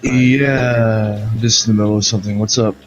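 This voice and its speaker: A man speaking as a payment department agent, in brisk office phone talk. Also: fairly low pitch, steady tone, lo-fi sound, soft background music.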